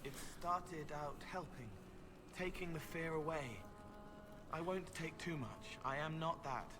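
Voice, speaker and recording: A man acting a gentle, anxious young spirit in human form in a fantasy video game, halting and childlike. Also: fairly high pitch, lightly acted. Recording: music under the voice.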